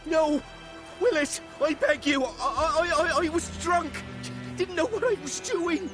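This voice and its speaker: A man's voice: extremely high pitch, measured pace. A man playing a surly, foul-mouthed village troublemaker in a video game, coarse in manner. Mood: panicked pleading. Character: surly foul-mouthed male village arsonist, bigoted drunkard. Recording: music behind the voice.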